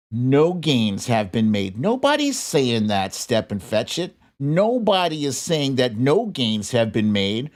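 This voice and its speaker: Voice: medium pitch, darkish tone. An American host giving unscripted, opinionated political commentary in a blunt, indignant, emphatic manner.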